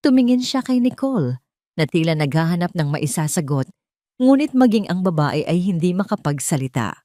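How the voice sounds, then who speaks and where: A woman narrates a dramatic first-person story. Her voice sits on the low side, and the recording is close and dry, with little room sound. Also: very animated, fairly quick, dark tone, no echo.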